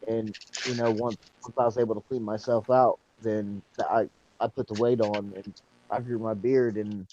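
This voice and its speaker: A man's voice: lowish voice, steady tone, moderate pace, warm tone, muffled recording, slight background noise. A man in his thirties chatting casually, rambling with lots of fillers and repeating himself. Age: 30s. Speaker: support group member. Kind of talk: casual chat.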